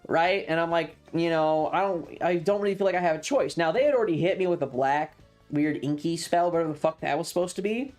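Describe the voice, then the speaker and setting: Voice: mid-range voice, fast, darkish tone, soft background music. A streamer telling a tabletop game story to a live chat in a casual, sweary, emphatic way, repeating phrases for drama.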